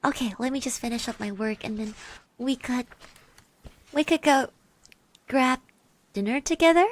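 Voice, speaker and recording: A woman playing a shy, sweet schoolgirl classmate in an ASMR roleplay, speaking softly and hesitantly to the listener. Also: high voice, normal speed, natural voice. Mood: bashful, friendly. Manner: hesitant, stammering, often dropping to soft murmurs and whispers.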